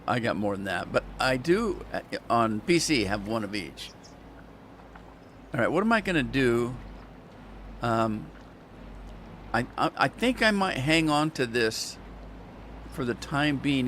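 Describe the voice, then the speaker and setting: A man chatting his way through a farming game, drifting between topics and tossing jokes at his viewers. His voice sits at an ordinary pitch for a man, with a faint hiss of noise behind it. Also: a bit bright. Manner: rambling, chatty, joking asides to viewers. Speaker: gaming channel host. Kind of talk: casual gameplay commentary.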